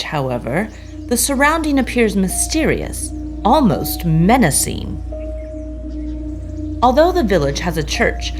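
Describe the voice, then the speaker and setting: A woman narrator reading a scripted dramatic tale with deliberate, suspenseful phrasing. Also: lowish voice.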